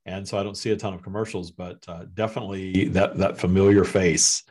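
A man hosting a podcast, talking with a guest in a relaxed, halting way. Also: sits low. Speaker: podcast host. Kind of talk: casual conversation.

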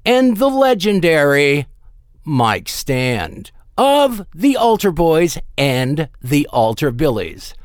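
A hoarse man hosts a music show in easy, self-mocking banter. His voice sits high and his talk is unhurried. The sound is dry and close. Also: dry recording. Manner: self-deprecating, joking. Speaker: host. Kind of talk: casual radio show hosting.

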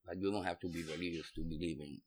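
A man's voice: low voice, fairly even intonation, quick pace, darkish tone, husky. A middle-aged man from Puerto Rico with a Puerto Rican accent, telling his story casually and in a rambling way as an interview guest. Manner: rambling, peppered with "you know". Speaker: interview guest, restaurant owner.

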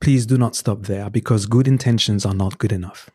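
A man with a French accent, whose first language is French, earnestly giving relationship advice as a guest in a conversational interview. He hesitates often, restarting phrases and filling pauses with "um" as he works through his points. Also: on the low side, a little mellow, slightly rough. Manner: earnest and advising, with frequent fillers and restarts. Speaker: podcast guest.

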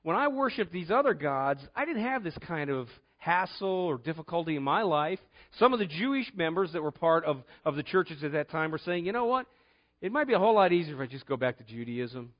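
A man's voice: on the high side, expressive, brisk, highs cut off. A middle-aged man preaching a conversational sermon, telling a personal story in an earnest way and often repeating words as he starts a phrase.

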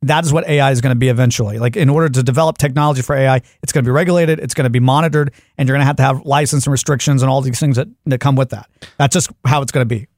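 A man's voice: mid-range voice, fairly even intonation, racing, darkish tone, no room sound, clean studio sound. A man co-hosting a talk show, giving emphatic, opinionated takes in casual banter.

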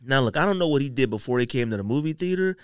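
An American male podcast host in a dry studio, talking casually and with strong opinions. He speaks in relaxed slang, building his points like someone telling a story to friends. His pitch sits in a middle range. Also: racing, smooth, no echo. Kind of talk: casual panel chat about sneakers.

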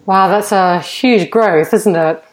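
A woman leads an interview with encouraging interjections and open questions. Her voice sits at a middle pitch for a woman. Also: takes it slowly. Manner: encouraging, reacts with exclamations. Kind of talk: interview hosting.

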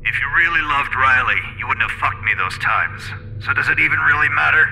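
A smug, possessive young man, acted by a male narrator in a horror audiobook. He coaxes with menace in a forceful tone, wrapped in long, cavernous reverb. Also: mid-range voice, fairly quick, vocal fry, slight background noise, voice pushed down. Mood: taunting.

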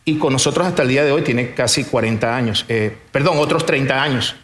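A man's voice: medium pitch, fairly quick, fairly bright tone, echoey room. A middle-aged businessman, born in Portugal and raised in Venezuela, telling his story in a casual interview, hesitant and often repeating words. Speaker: businessman guest.

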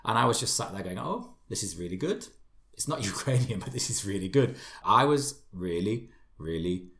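Male podcast panelist, lowish pitch, conversational reviewer, emphatic and effusive phrasing. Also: expressive, normal speed, a bit bright.

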